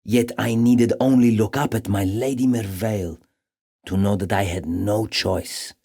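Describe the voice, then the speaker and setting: A man playing a battle-scarred, lovelorn gladiator champion, delivering a slow, intense game monologue in a gravelly, husky voice. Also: on the low side, fairly even intonation, heavily acted. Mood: brooding. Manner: slow, brooding, intense, hushed at times. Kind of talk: dramatic first-person monologue for a game.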